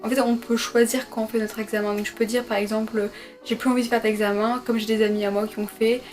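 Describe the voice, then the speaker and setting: A woman's voice: fairly high pitch, flat delivery, fast, darkish tone, soft background music. A young woman, a medical student, answering viewer questions in a casual sit-down vlog from her bedroom, hesitant with many fillers and self-corrections. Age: young adult. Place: her bedroom at her parents' home.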